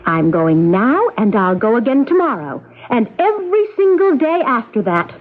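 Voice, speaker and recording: Radio drama role: restless, oddly innocent young farm woman, eager and headstrong, swooping husky voice, old lo-fi broadcast. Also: a little high, big pitch swings, normal speed, soft background music. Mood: defiant. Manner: headstrong, eager, childlike bluntness. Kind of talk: 1970s radio drama acting. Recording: dull lo-fi radio broadcast recording.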